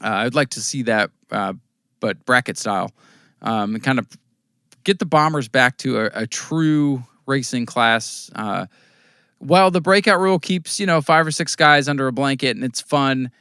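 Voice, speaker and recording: An American man with a voice worn hoarse, casually recapping local short-track races as a podcast host, rambling through results with fillers. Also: mid-range voice, lively intonation, normal speed, bright, forceful tone, dry recording, clean studio sound. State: losing his voice, coughing at times. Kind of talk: casual race recap talk.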